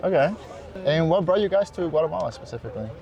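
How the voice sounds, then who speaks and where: A man's voice: a little high, expressive, fast talker, gravelly. A young man vlogging a hike, chatting in an upbeat, enthusiastic way with fellow hikers. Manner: upbeat, enthusiastic, uses "like" and "crazy". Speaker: travel vlogger interviewing hikers. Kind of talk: casual chat. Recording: chatter of a crowd around.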